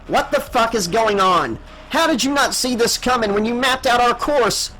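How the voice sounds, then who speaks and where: Man as a frantic, high-pitched woman starship boss. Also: very animated, measured pace, fairly bright tone, faint music behind, full character voice, poor audio. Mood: frantic demanding. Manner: urgent, demanding, profane. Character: commanding female starship boss and mother, demanding, frantic.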